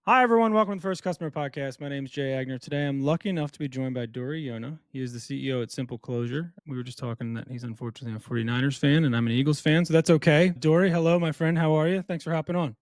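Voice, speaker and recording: A man hosting a podcast, chatting in a quick, upbeat and friendly way with his guest. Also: fairly high pitch, no echo. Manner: fast, upbeat, friendly banter. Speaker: podcast host. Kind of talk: casual interview.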